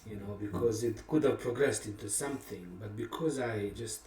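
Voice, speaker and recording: Low-voiced man telling a candid story, roomy. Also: a little mellow. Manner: reflective and candid, with many pauses and fillers, laughing at himself. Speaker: songwriter and musician. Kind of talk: casual personal storytelling about a song. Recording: roomy sound from a distant mic.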